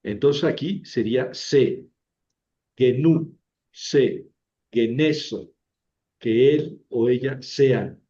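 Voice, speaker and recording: A man's voice: medium pitch, drawn-out words, long pauses, mellow. A man teaching a language lesson, explaining patiently and often checking with "¿verdad?". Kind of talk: lecture. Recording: dull lo-fi recording.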